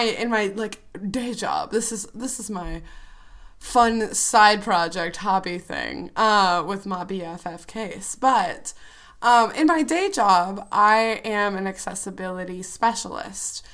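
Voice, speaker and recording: Hoarse, bubbly young woman. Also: mid-range voice, slow, a bit bright. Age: 20s. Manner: bubbly, chatty, laughs often. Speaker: podcast co-host. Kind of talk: casual chat.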